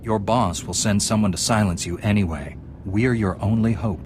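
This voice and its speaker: A man playing a calm, principled heroic knight in a video game, speaking in a firm, measured way. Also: lowish voice, natural voice. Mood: stern. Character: calm, principled male Jedi knight hero. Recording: music playing behind.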